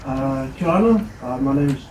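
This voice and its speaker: A man's voice: medium pitch, moderate pace. Man from New Zealand, easygoing, lots of fillers, echoey noisy hall. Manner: relaxed, with many fillers. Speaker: community hub worker. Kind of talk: self-introduction.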